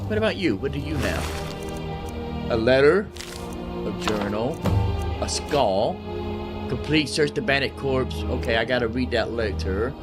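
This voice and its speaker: A man's voice: medium pitch, animated, measured pace, pauses often. Man chatting over game music. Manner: informal, repeats phrases to himself. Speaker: gaming video host. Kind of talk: casual let's play commentary.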